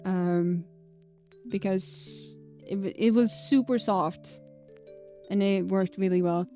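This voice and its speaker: Unhurried woman, light Swedish accent. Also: mid-range voice, warm tone, soft background music. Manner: rambling, fumbles words, laughs often. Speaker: streamer. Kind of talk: casual live-stream chat.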